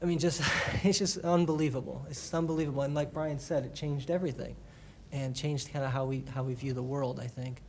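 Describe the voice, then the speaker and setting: A man from a theatre company, speaking reflectively to an audience, with many restarts and halting phrases. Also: medium pitch, fairly even intonation, a little mellow, slight background noise. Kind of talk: casual talk to an audience. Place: public library meeting room.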